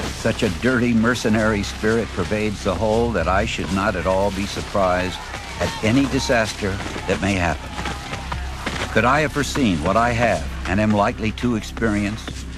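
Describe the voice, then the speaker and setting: An actor playing a weary, disgusted middle-aged general, reading his letter in a grave, formal, measured way. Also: fairly low pitch, lightly acted. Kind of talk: dramatic reading of a historical letter.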